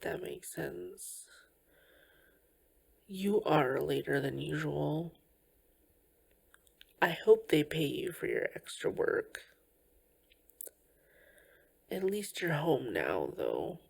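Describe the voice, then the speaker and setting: A woman playing a tender, caring girlfriend in an ASMR comfort roleplay, speaking gently and reassuringly in a breathy voice that often slips toward a whisper. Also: sits low, sing-song, heavily acted. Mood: tender concern. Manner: gentle, slow, reassuring, with soft pauses.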